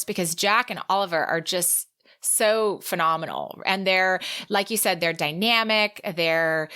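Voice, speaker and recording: Bright-voiced enthusiastic woman podcaster. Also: fairly low pitch. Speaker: podcast host. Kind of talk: casual book discussion.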